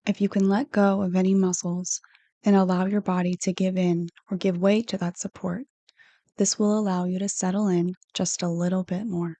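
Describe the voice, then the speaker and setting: A woman reading a guided meditation script in a soothing, unhurried, gently instructive manner. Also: fairly low pitch, little pitch movement, dry recording. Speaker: counselor hosting guided meditations.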